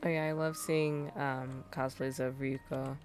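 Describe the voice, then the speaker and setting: A woman's voice: extremely low pitch, flat delivery, unhurried, a little mellow, faint music behind. A woman livestreaming a video game, chatting casually and reacting aloud as she plays. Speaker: game streamer. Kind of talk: casual livestream gameplay chat.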